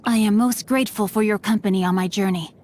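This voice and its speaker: A woman's voice: medium pitch, fast talker, a little mellow, a little hiss or hum, close to an everyday voice. A woman voicing a courteous, bookish teenage boy martial artist in a video game, with refined, eloquent and formal diction. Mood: solemn, earnest. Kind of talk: video game character voice lines. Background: music.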